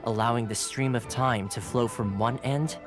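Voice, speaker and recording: An actor playing a courteous, playful yet earnest young retainer in a video game, speaking in polite, formal phrases. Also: male voice, mid-range voice, steady tone, moderate pace, natural voice. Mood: solemn, explanatory. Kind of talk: scripted game cutscene dialogue. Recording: music behind the dialogue. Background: game music.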